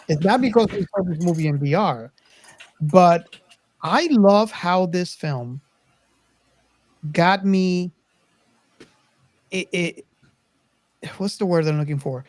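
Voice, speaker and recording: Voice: medium pitch, expressive, slow, long pauses, a little mellow, no echo. A podcast co-host casually discussing a film, hesitant and often searching for words.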